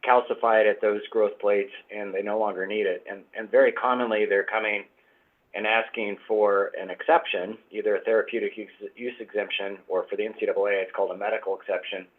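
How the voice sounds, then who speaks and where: Man, husky and hoarse from a cold, lowish voice, measured explaining, phone-like sound. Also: steady tone. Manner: measured, explanatory, frequent fillers. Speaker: guest doctor. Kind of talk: expert explanation in interview. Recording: tinny phone-like line.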